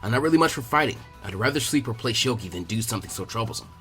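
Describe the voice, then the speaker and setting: Man playing a calm, weary, clever ninja boy over music. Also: lightly acted. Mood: matter-of-fact. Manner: flat, measured, lower-voiced, matter-of-fact. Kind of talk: fan fiction character reading. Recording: music behind the voice.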